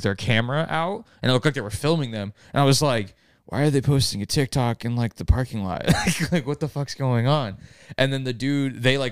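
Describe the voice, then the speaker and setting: A man's voice: mid-range voice, dry recording, clean studio sound. A young man on a podcast, telling a story in a rambling way full of fillers. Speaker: podcast co-host. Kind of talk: casual storytelling.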